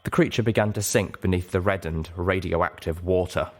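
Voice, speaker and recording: A man reading fiction aloud as a dramatic first-person narrator, measured and expressive. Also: low voice, noisy background. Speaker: audiobook narrator.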